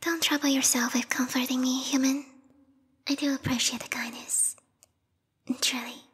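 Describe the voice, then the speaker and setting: Whispered ASMR roleplay, woman playing a lethal yandere vampire bat-girl knight, very breathy, formal and theatrical, long hall reverb. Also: high-pitched, little pitch movement, normal speed, fairly bright tone, full character voice. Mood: grateful, hopeful. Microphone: close binaural microphone.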